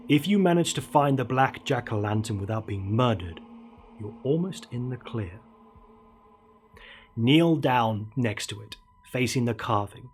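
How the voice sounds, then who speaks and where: A man in his thirties narrating a scary story in a theatrical, ominous way with deliberate emphasis. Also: medium pitch, slight background noise. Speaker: horror storyteller, show host. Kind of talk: dramatic creepypasta narration. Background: dark ambient music.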